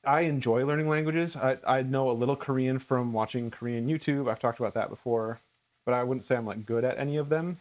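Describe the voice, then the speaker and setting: A man's voice: mid-range voice, a little fast. An American man hosting a cooking channel, answering questions in casual chat with an earnest, self-deprecating way of talking. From rural Minnesota, United States.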